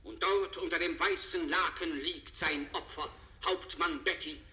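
A sensation-hungry television reporter in a vintage radio play. His voice is forceful, sharp and fairly high. He announces a manhunt with theatrical emphasis and excited menace. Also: unhurried. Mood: excited, dramatic. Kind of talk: live manhunt commentary in 1970s radio drama.

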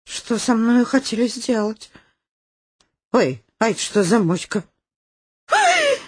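An actress playing an excitable, domineering old lady in a comic radio play, with a theatrical, bossy, exclaiming delivery. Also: fairly high pitch, slow pace, husky, dry recording, clean studio sound, heavily acted. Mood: bewildered, gushing. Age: older.

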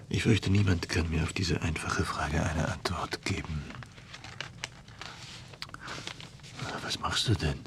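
An actor playing an ailing, middle-aged writer and court official in a German radio play, halting and brooding, restless with self-doubt and sardonic. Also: male voice, low-pitched, noisy background.

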